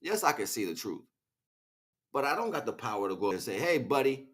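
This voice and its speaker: A man's voice: mid-range voice, very animated, fairly quick, fairly bright tone. A man hosting a casual livestream, talking in a slangy, rambling, preachy way as he chats back to viewers. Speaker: livestream host. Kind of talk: casual impassioned livestream monologue.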